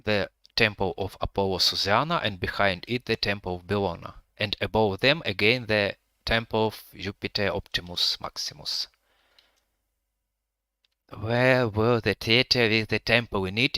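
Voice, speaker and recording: A man with a Russian-Ukrainian accent guiding a live virtual tour, speaking halting English with many pauses to find his words. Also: lowish voice, bright, forceful tone, clear, resonant tone, no echo. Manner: hesitant, pausing to find words; halting non-native English with frequent fillers.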